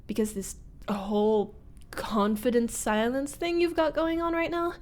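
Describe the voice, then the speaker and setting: Woman acting slow, high-voiced teasing former bully. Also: little pitch movement, a little mellow, close to an everyday voice. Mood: exasperated, needling. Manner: halting, breathy, drops into whispers, nervous chuckles and sighs. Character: teasing young woman, former school bully turned nervous roommate. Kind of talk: intimate ASMR-style roleplay monologue.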